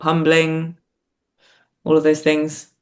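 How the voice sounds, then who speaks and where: A woman's voice: deep voice, monotone, takes it slowly. A woman reflecting as an interview guest, speaking hesitantly and often searching for words. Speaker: podcast guest, theatre writer and performer.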